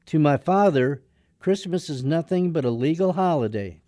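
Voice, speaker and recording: Actor as a higher-pitched, wry young man. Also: animated, moderate pace, mellow, no room sound, studio quality, lightly acted. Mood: gloomy, wry. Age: young adult. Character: decent, gloomy young man under his father's thumb. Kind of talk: radio drama acting and narration.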